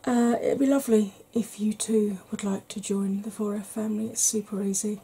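A middle-aged woman talks in a soft, unhurried ASMR manner, chatting on about all kinds of things. Her voice is mellow and slightly husky, with a relaxed warmth. She sits close to the camera in a small room at home. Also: mid-range voice. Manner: gentle, rambling, chatty. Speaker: beauty YouTuber. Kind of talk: soft-spoken ASMR makeup chat.

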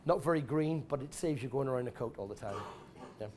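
A middle-aged man giving an informal talk and taking audience questions in a large exhibition tent, blunt and animated, swearing freely and restarting his sentences often. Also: medium pitch, fast, slight background noise. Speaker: guest speaker at an expo.

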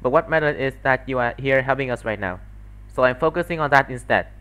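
A man's voice: mid-range voice, fast talker, fairly bright tone, natural voice. A man voicing an anxious, tired, tender-hearted girl heroine with a hesitant manner, in an amateur visual novel fandub. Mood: resolute.

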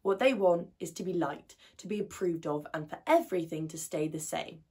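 A woman playing an outspoken, wounded 21-year-old idealist in a colloquial, emotional stage monologue, with a husky voice. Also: lowish voice, lively intonation, brisk, mellow. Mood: disillusioned, defiant.

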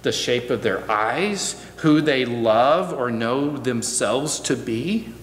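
A middle-aged American man giving a reflective sermon as guest preacher, measured, with deliberate pauses and repeated phrases, at a church pulpit microphone. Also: medium pitch. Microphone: gooseneck pulpit microphone. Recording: echoey hall, noticeable hum.

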